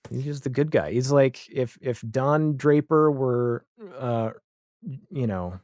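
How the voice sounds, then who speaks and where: A man talking casually and enthusiastically as a podcast guest, pausing deliberately and stressing key words. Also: medium pitch, darkish tone, dry recording, studio quality. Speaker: podcast guest, audio drama creator. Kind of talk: casual enthusiastic interview talk.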